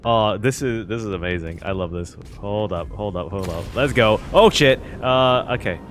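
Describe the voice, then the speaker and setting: Streamer type: a lowish-voiced excitable man reacting to a game with music underneath. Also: normal speed. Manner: excitable, exclaiming, swearing, thinking aloud. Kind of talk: live game reaction commentary.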